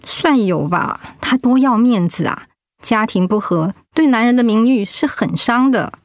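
A woman's voice: on the high side, lively intonation, unhurried, no echo, clean studio sound. A woman acting a proud, stubborn middle-aged wife and mother in a radio drama, blunt and sardonic. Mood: bitter, resigned.

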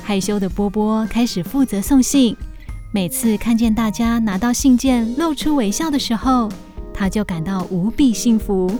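A woman narrating a scripted children's story in an expressive storytelling manner. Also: fairly high pitch, normal speed, soft background music.

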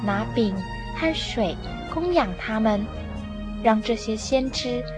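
A woman's voice: a little high, lively intonation, slow, over music. A woman narrator telling a scripted story for a radio drama.

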